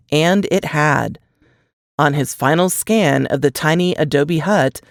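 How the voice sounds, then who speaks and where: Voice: medium pitch, dry recording, studio quality. A narrator reading a suspense novel aloud in scripted audiobook style.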